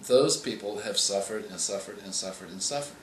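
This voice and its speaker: A male author answering interview questions, explaining in a measured way and often restarting his phrases. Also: lowish voice, fairly bright tone, slight background noise. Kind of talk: interview answers on writing craft. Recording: echoey room with faint ambience.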